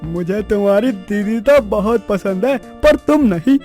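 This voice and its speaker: A man's voice: high voice, expressive, a little slow, darkish tone, faint music behind. A man playing a wisecracking, loving young husband in a cartoon story, teasing and wry.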